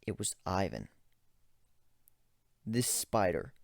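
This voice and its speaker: A man's voice: deep voice, big pitch swings, takes it slowly, creaky, dry recording. A man giving a dramatic first-person reading of a story as its narrator, close to the microphone.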